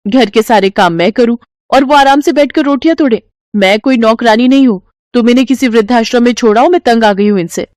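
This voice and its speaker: A woman's voice: fairly high pitch, brisk, darkish tone, no room sound, clean studio sound, voice pushed up, lightly acted. A woman voicing a spiteful, bossy daughter-in-law who complains sharply in an audio story. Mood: fed up. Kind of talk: Hindi audio story dialogue.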